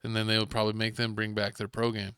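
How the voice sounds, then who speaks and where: A man's voice: lowish voice, monotone, fast, pushed and bright, clear, resonant tone, dry recording, studio quality. A Texan man hosting a podcast, chatting casually and rambling about video games, sometimes acting out game scenarios. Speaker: podcast host. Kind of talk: casual sports chat.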